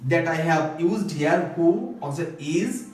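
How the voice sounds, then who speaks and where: A man's voice: on the high side, animated, takes it slowly, darkish tone. A man with a Bangladeshi accent teaching English grammar step by step, in a repetitive, phrase-by-phrase instructional way. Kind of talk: recorded grammar lesson, step-by-step explanation. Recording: echoey room, distant microphone.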